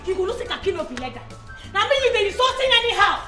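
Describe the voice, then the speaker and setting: A woman playing a quick-tempered madam, scolding in Nigerian Pidgin with a Nigerian accent and laughing asides. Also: very high voice, big pitch swings, bright, forceful tone, soft background music, heavily acted. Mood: threatening. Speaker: employer scolding her house help. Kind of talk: comedy skit acting.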